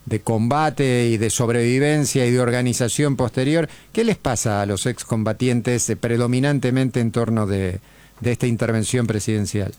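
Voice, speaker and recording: A man's voice: medium pitch, moderate pace, a little mellow, smooth, no echo. A man with an Argentine accent hosting a radio interview, asking long, winding questions. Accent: Argentine Spanish. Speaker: radio host.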